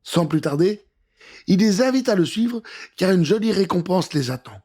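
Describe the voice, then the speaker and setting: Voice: mid-range voice, animated, normal speed, warm tone, husky. A storyteller reading a scripted children's adventure in a theatrical, suspenseful way.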